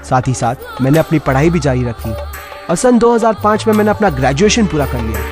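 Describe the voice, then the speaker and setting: A man's voice: fairly high pitch, big pitch swings, fast. A man reading the life story of a determined businessman as a scripted first-person narration, with music behind. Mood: earnest, resolved. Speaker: presenter reading a profile.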